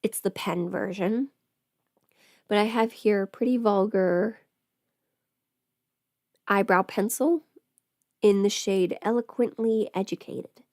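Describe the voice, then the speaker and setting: A woman with a medium-pitched voice chats casually as a livestream host. She rambles and trails off, half talking to herself while her hands are busy. The sound is dry, with hardly any room to it. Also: takes it slowly, pauses often, a little mellow, no echo. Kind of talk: casual chat while doing makeup.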